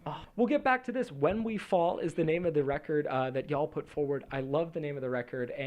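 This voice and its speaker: Fast-talking man hosting. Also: mid-range voice, dull audio. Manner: chatty, with many fillers and restarts. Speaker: host. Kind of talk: casual interview questions.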